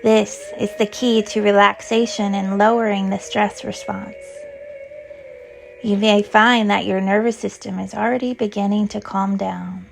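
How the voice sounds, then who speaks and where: Woman guiding meditation, slightly creaky voice. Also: medium pitch, fairly even intonation, soft background music. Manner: soothing, gentle, unhurried instruction. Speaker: guided meditation teacher. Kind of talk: guided yoga nidra meditation.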